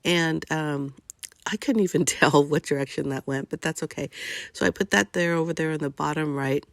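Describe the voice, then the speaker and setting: A chatty older woman with a cold-stuffed nose narrates her crafting video in a gravelly, fry-edged voice. The sound is dry and close, with no room around her, and she fills her talk with happy little asides. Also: low voice, moderate pace, no echo. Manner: chatty and gushing, with frequent fillers. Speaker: scrapbooking crafter.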